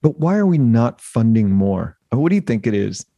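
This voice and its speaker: A man's voice: mid-range voice, sing-song, normal speed, vocal fry, warm tone. A man hosting a casual interview, feeling his way through questions with searching, tentative phrasing. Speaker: interviewer, podcast host.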